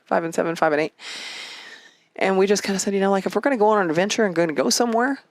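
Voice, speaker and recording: A woman's voice: medium pitch, rapid-fire, no echo. Chatty woman with a dry, close sound. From Canada and Egypt. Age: 50s. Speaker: interview guest, horsewoman. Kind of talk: casual conversational storytelling.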